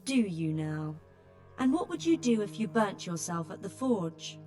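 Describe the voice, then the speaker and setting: A woman's voice: medium pitch, very animated, unhurried, darkish tone. Weary blunt healer woman, dry and fed up, video game drama, bit of music under it. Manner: exasperated, dry, plain-spoken.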